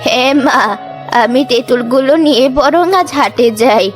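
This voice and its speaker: A woman's voice: high voice, moderate pace, lightly acted. A woman playing a kindly, simple-hearted old village granny in a children's cartoon, with music behind her. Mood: delighted. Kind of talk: Bengali children's cartoon dubbing.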